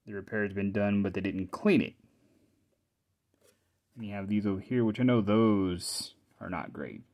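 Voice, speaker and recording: A man giving a casual hands-on show-and-tell as a hobbyist repairer, thinking aloud in short, halting, informal phrases. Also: lowish voice, muffled recording. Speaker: hobbyist repairer presenting his own video.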